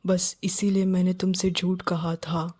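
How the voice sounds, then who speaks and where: An actor playing a guilty, evasive young husband and son in a cartoon moral story, stammering and pleading. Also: male voice, high-pitched, level tone, a little slow, mellow, breathy edge. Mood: confessing. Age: young adult.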